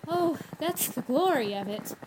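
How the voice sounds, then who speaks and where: An actress plays a well-informed, reasonable young woman in old-time radio style. Her high voice moves at a slow pace with very animated intonation. She explains things in a theatrical way. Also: background noise. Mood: cheerful, explanatory.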